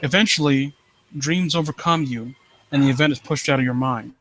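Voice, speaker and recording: A man hosting a live stream, reading and commenting on stories in a halting, rambling way and often rephrasing himself. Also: mid-range voice, a bit bright, poor audio. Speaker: live stream host reading and critiquing amateur horror stories. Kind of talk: story read-aloud and casual commentary.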